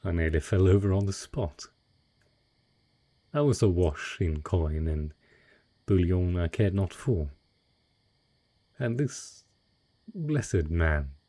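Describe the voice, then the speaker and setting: A man playing a wealthy, cunning dragon in humanoid disguise, speaking in a refined, eloquent and husky way in a romantic fantasy audio roleplay. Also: deep voice, dark tone, exaggerated voice. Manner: refined, eloquent, unhurried storytelling.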